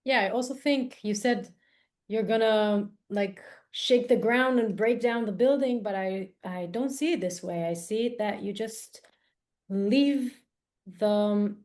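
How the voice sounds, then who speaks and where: A woman's voice: mid-range voice, a little slow, darkish tone. A woman hosting a podcast, responding supportively and asking her guest questions. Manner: supportive, encouraging. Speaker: podcast host.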